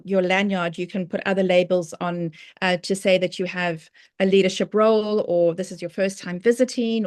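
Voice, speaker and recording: A middle-aged South African woman talks through a presentation in an easygoing, conversational way, pausing on fillers and restarting phrases. Her voice sits at an ordinary pitch for a woman. The sound is dry and close, with no room echo. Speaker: professional speaker presenting. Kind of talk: online presentation to a speaker chapter.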